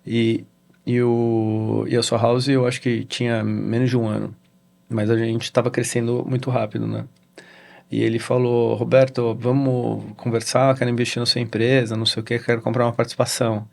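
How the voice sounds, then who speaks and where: A middle-aged Brazilian man with a Brazilian Portuguese accent, a guest in a casual interview, telling a story in halting sentences with frequent "né?" tags. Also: medium pitch, level tone, gravelly, a little mellow. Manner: halting, with many restarts and "né?" tags. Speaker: interview guest, real estate executive.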